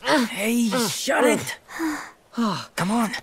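A woman's voice: fairly high pitch, extremely slow, breathy edge, full character voice. A woman voicing a cheeky, plucky teenage kid in a video game, a bit bratty and hesitant. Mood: annoyed. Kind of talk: animated video game cutscene acting.

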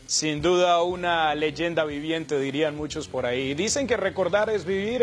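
A man anchoring a sports news segment in a formal, brisk newsreading manner. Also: high-pitched.

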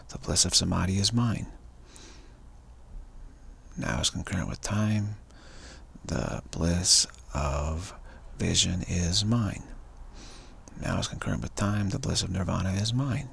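A man repeating spiritual affirmations over and over in a slow, chanting way, talking from a cafe on a live stream. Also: low voice. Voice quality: gravelly, raspy voice. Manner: slow, repetitive, chanting phrases. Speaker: spiritual speaker.

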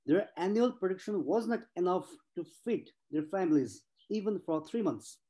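A man's voice: high voice, expressive, normal speed, warm tone. A man in his forties from Nepal, giving an earnest personal talk as a speaker at an online panel, stressing points through repetition. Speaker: conference speaker.

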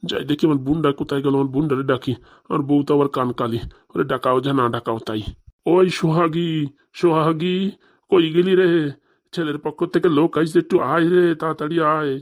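A man's voice: fairly high pitch, steady tone, brisk, close to an everyday voice. A man voicing a fretful village elder brother in a comic cartoon, fussing and grumbling as he hurries everyone along. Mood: anxious fussing. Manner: fussy, grumbling, hurrying.

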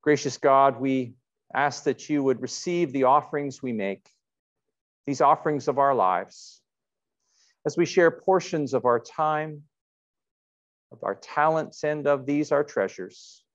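Slow, measured middle-aged pastor. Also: male voice, mid-range voice, dull audio. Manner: measured, deliberate phrasing with pauses. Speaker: pastor leading an online church service. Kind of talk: sermon and spoken prayer. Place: indoors in a church, before a painted backdrop.

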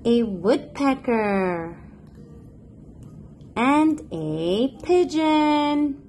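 High, lively woman reading to toddlers. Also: drawn-out words, slight background noise. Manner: playful, expressive, sing-song, with excited gasps and questions. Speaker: children's picture book narrator, host. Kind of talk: read-aloud for toddlers.

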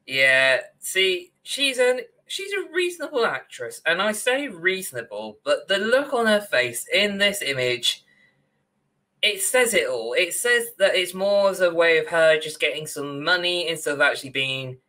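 A man's voice: high-pitched, sing-song, a little slow, bright, forceful tone, rough recording. A young man co-hosting a casual, opinionated discussion of films and games from a room on a video call. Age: young adult. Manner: opinionated, ranting. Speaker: podcast co-host. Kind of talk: casual film and game discussion.

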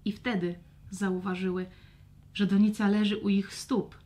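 A woman's voice: medium pitch, flat delivery, a little slow, dark tone. A woman narrating a scripted children's story expressively.

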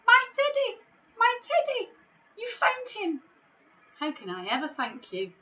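A woman voicing a big, emotional, polite male giant from a children's storybook, with a loud, plaintive delivery. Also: extremely high pitch, huge pitch swings, a little slow, highs cut off, voice pushed up, exaggerated voice. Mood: overjoyed. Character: huge tearful giant, male, polite, missing his teddy.

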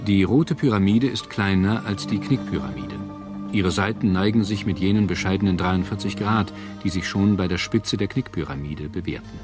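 A man reading scripted documentary narration in a measured, formal manner. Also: low-pitched. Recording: music bed under narration. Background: background music.

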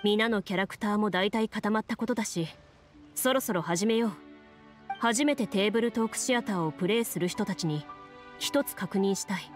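A woman's voice: medium pitch, close to an everyday voice. Composed, formal young woman actress as game master. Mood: calm, formal. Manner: calm, formal, deliberate explaining. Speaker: game master narrating a tabletop game. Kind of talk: anime video game acting, storytelling narration. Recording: music under the voice.

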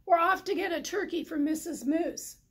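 A woman's voice: very high voice, moderate pace. Woman acting out an earnest, emphatic cartoonish moose husband. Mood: earnest, determined. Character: kindly, determined husband moose, earnest and polite.

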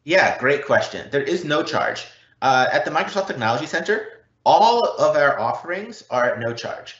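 A man giving an explanatory live online presentation as a technology consultant, often checking in with a quick "right?" or "okay?". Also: fairly high pitch, sing-song, a bit bright. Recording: roomy, slightly dull online event audio.

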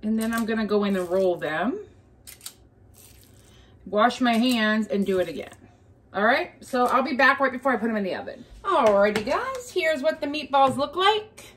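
A woman with a fairly high, very animated voice talks casually to viewers as she cooks. She chats her way through each step of the demonstration. Faint noise of dishes and pans in her home kitchen sits behind her. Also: moderate pace. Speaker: home cooking vlogger. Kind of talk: casual cook-along demonstration.

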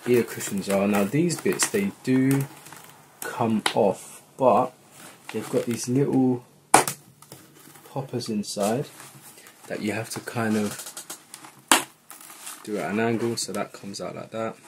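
Low-voiced man giving a casual tutorial. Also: takes it slowly, pauses often, very noisy. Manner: informal, thinking aloud while working. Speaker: vlogger giving a how-to tutorial. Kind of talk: casual hands-on tutorial narration. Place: indoors at a wooden table in a home room.

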